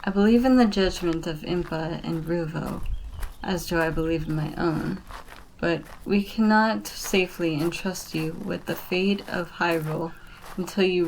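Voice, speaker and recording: Woman acting a grave, low-voiced warrior guide. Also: slight background noise, close to an everyday voice, rough recording. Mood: earnest, dutiful. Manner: measured, formal, earnest. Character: grave, dutiful Sheikah warrior guide serving royalty. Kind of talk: ASMR fantasy roleplay.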